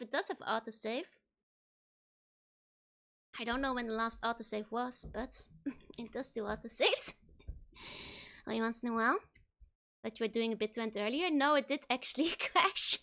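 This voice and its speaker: A young woman streaming a game, hoarse, giggling and rambling playfully as she chats. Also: on the high side, normal speed, pauses often, a bit bright. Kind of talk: casual game stream chat.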